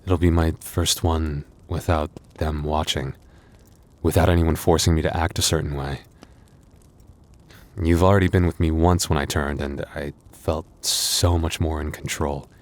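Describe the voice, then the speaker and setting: A man playing a gentle, anxious young werewolf boyfriend in an intimate audio roleplay, speaking softly and haltingly. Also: very deep voice, flat delivery. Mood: playful, bashful. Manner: intimate, hesitant, soft-hearted, halting.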